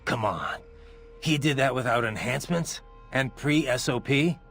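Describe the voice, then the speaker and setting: An actor playing a blunt, wry cyborg mercenary in a video game, speaking in a terse, dry manner. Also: male voice, medium pitch, expressive, a little slow, a bit bright, husky, soft background music, exaggerated voice. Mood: dry, curious. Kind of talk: video game cutscene voice acting.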